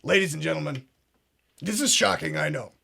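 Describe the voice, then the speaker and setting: A man doing a pompous conspiracy talk-show host with a gravelly, husky voice pitched a little high. He drives every line with self-important certainty and leans on "ladies and gentlemen" like a catchphrase. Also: brisk, heavily acted. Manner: pompous, keeps saying "absolutely" and "ladies and gentlemen". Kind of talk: comedic parody show.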